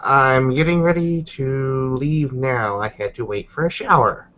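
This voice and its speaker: A man's voice: mid-range voice, unhurried. A man talking casually and offhandedly, as if among friends. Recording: dull, lo-fi voice chat audio.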